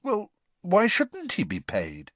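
A man's voice: high-pitched, huge pitch swings, takes it slowly, a bit bright, no echo, pitched above the performer's own voice, heavily acted. A man voicing an earnest, plainspoken teenage boy wizard in a storybook.

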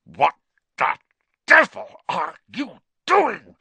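A man's voice: mid-range voice, big pitch swings, unhurried, pushed and bright, no room sound, full character voice. A man voicing a pompous, bad-tempered middle-aged uncle who threatens through gritted teeth. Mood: menacing.

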